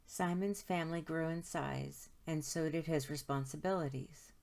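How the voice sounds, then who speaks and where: Low voiced lady doing storytime, reading a kids book out loud, stretches out the important words. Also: moderate pace, creaky. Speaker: storytime host reading aloud. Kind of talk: expressive children's picture book narration.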